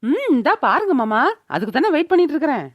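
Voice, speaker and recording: A woman plays a cheeky young village woman in a cartoon. Her voice is high and sassy, with colloquial speech. The recording is dry and slightly dull. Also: sing-song, quick pace, no echo. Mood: smug, teasing. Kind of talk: Tamil village comedy cartoon dub.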